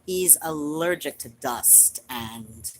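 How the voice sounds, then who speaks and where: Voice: mid-range voice, expressive, takes it slowly, slight background noise. A streamer chatting casually to viewers in a rambling, digressive way.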